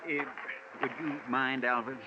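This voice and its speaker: An actor playing a suspicious, gullible country sheriff with a rural American accent, folksy, hesitant and blustering, in old-time radio style. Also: male voice, medium pitch, fairly even intonation, unhurried, natural voice. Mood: accusing. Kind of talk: old-time radio comic character acting. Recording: noisy, muffled old radio recording.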